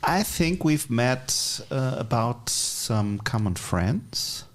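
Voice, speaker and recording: A German co-host with a German accent speaks English slowly and carefully, pausing on "uh". His voice sits at a middle pitch but moves up and down widely. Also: lively intonation. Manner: slow, hesitant English with "uh". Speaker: podcast co-host. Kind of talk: casual interview chat.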